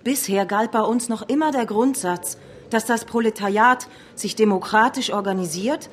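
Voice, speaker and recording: An actress playing a stern, principled revolutionary leader in a German radio drama, firm and reproachful. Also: female voice, fairly high pitch, normal speed, slight background noise, natural voice. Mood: firm, lecturing.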